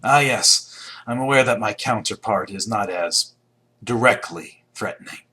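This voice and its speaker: Crisp, low, shrewd hunter. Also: male voice, measured pace, pushed and bright. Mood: dryly reassuring. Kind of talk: video game character dialogue.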